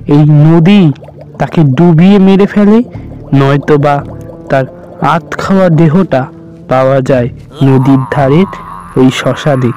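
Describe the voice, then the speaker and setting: Slow, bright-voiced male storyteller over music. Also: fairly high pitch, muffled recording. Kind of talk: dramatic scripted horror story narration.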